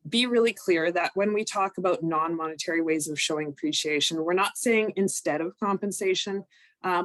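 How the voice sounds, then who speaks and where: A woman, recovering from a cold, presenting an online training session in a measured, explanatory way with frequent ums. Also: on the low side, dry recording. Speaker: workshop presenter.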